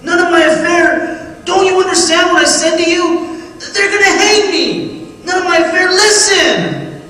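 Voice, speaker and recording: A man's voice: very high voice, fairly even intonation, measured pace, sharp tone, heavily acted. A man playing a desperate condemned prisoner who pleads with ghosts, agitated and insistent, in old-time radio horror style. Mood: indignant, insistent. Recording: echoey reverberant radio drama, distant, hissy.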